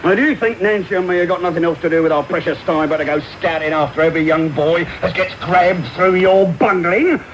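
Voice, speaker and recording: A man playing a brutal, threatening London thief in a radio drama, with a gruff Cockney voice. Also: sits high, brisk, few pauses, lightly acted. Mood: angry demanding. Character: brutal, menacing adult male thief with a dog. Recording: very noisy off-air medium wave radio recording.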